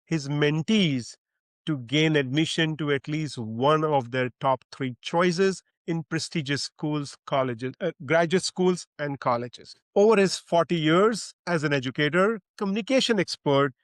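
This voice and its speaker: An effusive male podcast host with a slightly high-pitched voice gives a formal welcome. His delivery is full of praise and "uh" fillers. The sound is dry and close, with no room echo. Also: unhurried. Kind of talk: podcast interview, reading a guest introduction.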